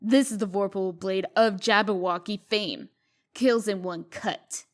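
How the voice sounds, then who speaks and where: A woman performs a young heroine of a comic dub in a medium-pitched voice. She is brisk and proud, quick to assert herself. The delivery is feisty and indignant. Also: fairly even intonation, lightly acted. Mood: determined.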